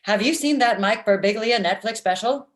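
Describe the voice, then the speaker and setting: A woman's voice: on the high side, moderate pace, lightly acted. A woman playing a blunt, lovestruck robot matchmaker with stilted, literal speech in a comedy table read over video call. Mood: deadpan, chatty. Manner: stilted, uncontracted, machine-like phrasing.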